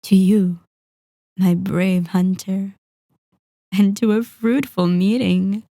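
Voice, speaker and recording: A woman's voice: medium pitch, fairly even intonation, slow pace, vocal fry, dark tone, no echo, studio quality. A woman voicing a possessive, sadistic vampire in an ASMR roleplay, with a silky, teasing, mock-polite menace. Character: sadistic, possessive female vampire lord toying with captive hunter.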